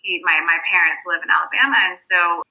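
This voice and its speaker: A woman's voice: lowish voice, fast, gravelly, sharp tone, dull audio. An American woman talking as an interview guest in a casual, reflective way, often restarting her sentences. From United States.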